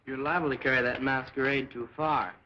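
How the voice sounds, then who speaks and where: On a muffled vintage soundtrack, a man plays an eager young American sidekick. He talks very quickly and candidly at medium pitch, in 1940s serial style. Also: steady tone, noisy background, lightly acted. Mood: chiding. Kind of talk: 1940s film serial acting.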